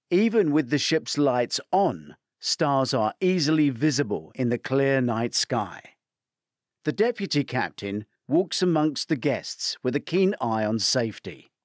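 A man reading a crime thriller aloud as scripted narration, measured and theatrical, lifting his voice for quoted commands. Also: medium pitch, no echo, clean studio sound. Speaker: audiobook narrator.

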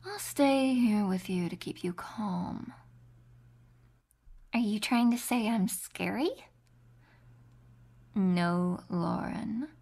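A woman voicing two doting, possessive young female captors in a whispered audio roleplay. She speaks in a soft, cooing whisper full of pet names, with a husky voice. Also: medium pitch, slow pace, pauses often.

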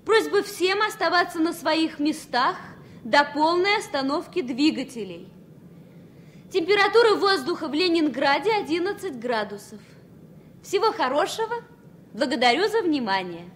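A woman's voice: high-pitched, very animated, normal speed. A woman playing a polite flight attendant making formal announcements in a Soviet radio play. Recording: 1970 radio play, faint noise.

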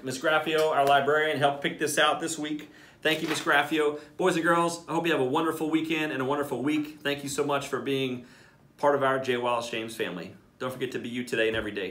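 A man's voice: mid-range voice, a bit bright. A man, around his thirties or forties, a school principal reading a picture book aloud expressively and talking directly to children. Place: small office, indoors. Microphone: camera a few feet away. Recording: roomy echo, distant mic.

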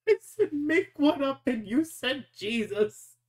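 A game master improvising casually in a tabletop roleplay, speaking in an animated way. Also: slow. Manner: animated, prone to laughing and squeaking. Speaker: game master voicing characters.

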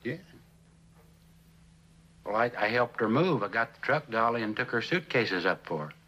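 An actor playing a defensive working-class handyman giving blunt, ungrammatical, halting testimony in a television courtroom drama. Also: mid-range voice, lively intonation, pushed and bright, husky, lo-fi sound. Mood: defensive, sullen. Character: defensive, plain-spoken working-class building handyman on trial.

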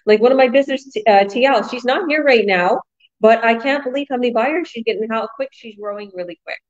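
A woman hosting an online business talk over a video call, pitching with enthusiastic, repetitive sales energy. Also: fast talker. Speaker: host. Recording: muffled video call audio.

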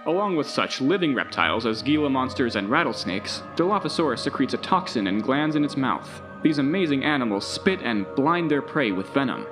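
A man playing a recorded tour guide in an audio drama, with measured, presentational narration. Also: mid-range voice, big pitch swings, natural voice. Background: music.

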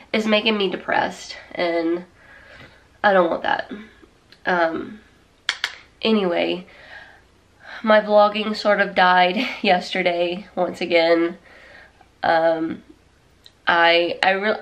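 A woman's voice: fairly low pitch, fairly bright tone. A woman, chatting casually to camera in a small room at home, congested and rambling with lots of fillers and restarts. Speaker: vlogger. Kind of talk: casual chat. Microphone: camera or phone at arm's length.

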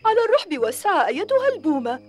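A woman voicing an eager, boastful small cartoon creature in a squeaky, drawn-out voice. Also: very animated, soft background music. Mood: excited.

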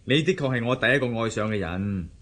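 A man's voice: medium pitch, animated, a little fast, a bit bright. A man with a Hong Kong Cantonese accent acting an earnest, devoted young husband in a radio drama, defensive and teasing by turns. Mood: pleading earnestly.

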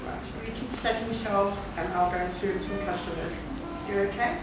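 Actress as a gentle woman, echoey, far-off stage. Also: mid-range voice, moderate pace, heavy background noise, natural voice. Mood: caring. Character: gentle, soothing shop worker comforting a startled friend. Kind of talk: amateur stage play with sung lines. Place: indoor theatre stage before an audience. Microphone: distant camera in the audience. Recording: echoey theatre stage recorded from afar.

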